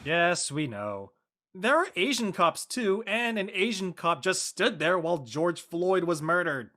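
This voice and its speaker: Awkward, evasive teen boy acted by a man, animated pitch, casual drama delivery. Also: a little slow, exaggerated voice. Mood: matter-of-fact. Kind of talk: casual scripted drama dialogue.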